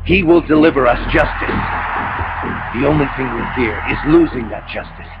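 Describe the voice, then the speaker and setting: Game role for a male actor: embittered Soviet rebel soldier, impassioned and declamatory man, dull lo-fi sound over loud music. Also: mid-range voice, normal speed, lightly acted. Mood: defiant, fervent. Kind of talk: video game cutscene acting.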